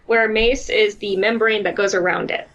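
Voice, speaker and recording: A woman's voice: mid-range voice, steady tone, moderate pace, a bit bright, lo-fi sound. A woman co-hosting a podcast in casual group banter, explaining details matter-of-factly. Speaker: podcast co-host.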